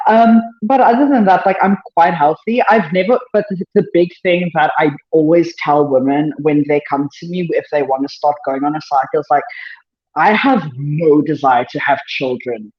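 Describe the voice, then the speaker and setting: A woman with a South African accent and a naturally deeper voice, talking candidly and self-deprecatingly as a podcast guest, rushing through thoughts with many fillers. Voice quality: deeper than usual voice. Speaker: powerlifter guest. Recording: echoey room, dull lo-fi sound.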